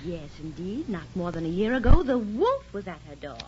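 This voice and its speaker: A woman's voice: very animated, moderate pace, background noise, lightly acted. An actress playing a gossipy, teasing middle-aged neighbor woman in a 1940s radio comedy, theatrical and giggly in her exclaiming. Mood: gleeful gossiping. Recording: muffled, rumbling 1940s radio recording.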